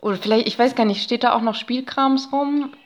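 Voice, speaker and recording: A woman's voice: a little high, brisk. A woman speaking as a podcast guest, explaining things in casual interview chat.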